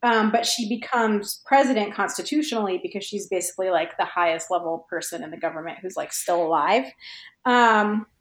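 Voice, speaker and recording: A woman's voice: mid-range voice, a little fast, fairly bright tone. A woman speaking as a guest writer in a casual podcast discussion, thoughtful and hesitant as she circles toward the right phrasing. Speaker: guest fan fiction writer. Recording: roomy, echoey sound.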